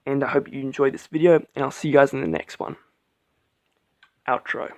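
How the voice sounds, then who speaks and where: A man casually reviewing a deck of cards as a channel host, relaxed and rambling with many fillers. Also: mid-range voice, a little fast, no room sound, studio quality. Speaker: playing card reviewer. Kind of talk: casual vlog product review.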